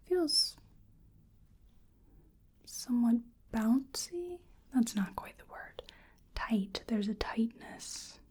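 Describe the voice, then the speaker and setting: A woman speaking in a breathy, whispery soft voice, role-playing a medical examiner in an ASMR style, gentle and hesitant as she murmurs to herself. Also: on the high side.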